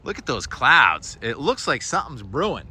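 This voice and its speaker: A man's voice: mid-range voice, very animated, moderate pace, sharp tone. A man vlogging casually outdoors on a phone, musing aloud about the sky and weather in short, enthusiastic remarks. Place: outdoors, beach and waterside. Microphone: handheld phone. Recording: clear outdoor ambient and vehicle noise.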